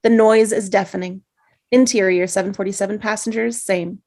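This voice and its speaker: A woman reads scene and action lines aloud at a remote table read. Her pitch is middling and her reading steady and measured, heard through a video call. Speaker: narrator reading stage directions.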